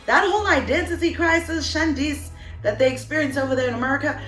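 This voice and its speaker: A woman's voice: sits high, fast, a bit bright, roomy sound, soft background music. A young woman from Cameroon with a Cameroonian English accent that drifts toward British, chatting to camera in a bubbly, playful way and laughing often. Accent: Cameroonian English, shifting toward British. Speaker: vlogger and voiceover artist. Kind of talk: casual chat to camera.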